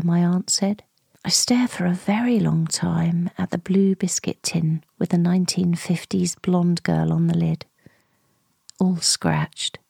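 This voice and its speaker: A woman playing a weary, grieving middle-aged niece in an intimate radio drama monologue, breathy, hushed and deliberate. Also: on the low side, dry recording, studio quality, exaggerated voice. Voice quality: breathy, whispery.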